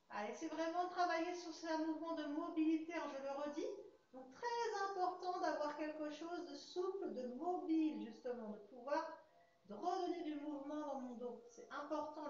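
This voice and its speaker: A young woman with an English accent leading a Pilates class, calmly calling out breaths as she demonstrates, recorded from a far camera in a large studio. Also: high-pitched, normal speed. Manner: calm, counts breaths while demonstrating. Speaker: Pilates instructor. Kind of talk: guided exercise class. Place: large fitness studio with hard floor, indoors. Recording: echoey studio, dull sound, faint hiss.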